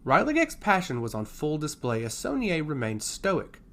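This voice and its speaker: Expressive man narrating a fantasy story. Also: on the high side, normal speed.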